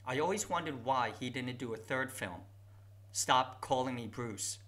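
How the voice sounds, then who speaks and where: Bright-voiced man narrating a video essay. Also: medium pitch, measured pace. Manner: reflective, personal storytelling. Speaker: YouTube host.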